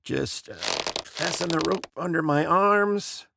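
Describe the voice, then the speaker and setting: A man acting in a 1950s radio drama as an earnest survey engineer, respectful and a little halting. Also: a little high, gravelly, noisy background. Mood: brisk, determined. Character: earnest, dutiful male survey engineer, eager subordinate.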